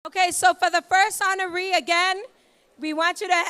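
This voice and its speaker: A woman's voice: extremely high pitch, flat delivery, moderate pace, pushed and bright. A woman from Boston whose first language was Haitian Creole, hosting a gala and hyping up the room. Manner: upbeat, rallies the room with "give it up". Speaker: master of ceremonies. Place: hotel banquet hall.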